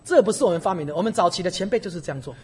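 Husky-voiced guy preaching, super animated, keeps stopping for his interpreter. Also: sits high, rapid-fire. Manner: animated storytelling, acting out dialogue. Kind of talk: sermon in Chinese with consecutive interpretation.

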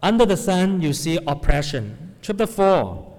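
A man's voice: on the high side, sing-song, measured pace, a little mellow. Male preacher in a hall. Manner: non-native English with grammar slips, asks the audience "right?". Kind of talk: sermon to a congregation. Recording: long hall reverb.

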